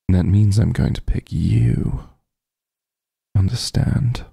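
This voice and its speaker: Vampire mafia heir for ASMR roleplay, male actor: low, very dark husky voice, close and dry, teasing, possessive. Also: dry recording, exaggerated voice. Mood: protective, matter-of-fact. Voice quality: husky, breathy, gravelly. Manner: slow, smooth-tempered, teasing drawl with soft murmurs. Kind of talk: intimate ASMR roleplay acting.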